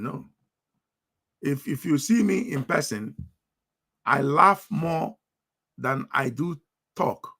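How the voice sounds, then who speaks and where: A middle-aged man broadcasting live, commanding and forceful, repeating himself for emphasis. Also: on the high side, vocal fry, husky.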